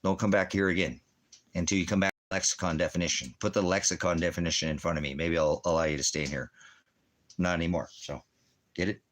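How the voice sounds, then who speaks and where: A man's voice: sits low, fairly even intonation, fast, a bit bright. A man hosting a rambling livestream Bible teaching, talking bluntly and challengingly to commenters and often answering his own questions. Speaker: ministry teacher and livestream host. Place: indoors at home by a fireplace.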